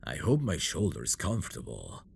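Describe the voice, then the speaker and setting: A man playing a possessive, teasing fantasy villain devoted to his bride in an intimate ASMR audio drama, with a gravelly murmur that often drops into breathy whispers. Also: a bass voice, wildly swooping pitch, slight background noise, exaggerated voice. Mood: smug, menacing. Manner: slow, teasing, seductive delivery.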